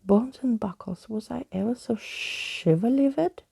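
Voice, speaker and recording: A woman voicing a fussy, theatrical male skeleton in a storybook, with quaint, indignant, wordy speech and a breathy, creaky voice. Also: on the high side, unhurried, warm tone, pitched above the performer's own voice, exaggerated voice. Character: fussy, theatrical male living skeleton with old-fashioned exclamations.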